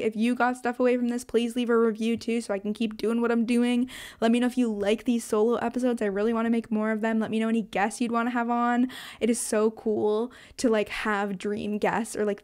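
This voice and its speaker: A woman of twenty hosting a solo podcast, talking in a chatty, animated way with lots of fillers and self-corrections. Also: medium pitch. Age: 20s. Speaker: podcast host.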